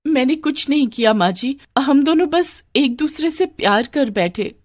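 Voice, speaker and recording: A woman voicing a gentle, meek young wife who talks in a pleading, tearful way, in a cartoon story. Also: high-pitched, lively intonation, normal speed, dry recording, studio quality, pitched above the performer's own voice.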